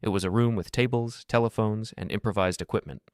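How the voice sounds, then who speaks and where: A man reading scripted documentary narration in a measured, grave manner. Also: on the low side, steady tone, a little mellow, clear, resonant tone, dry recording, studio quality.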